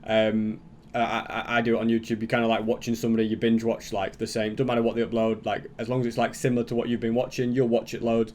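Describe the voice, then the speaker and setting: A man's voice: mid-range voice, completely flat delivery, fast, a little mellow, a little hiss or hum. A man giving casual reaction commentary as a YouTube host, rambling and thinking aloud as he goes.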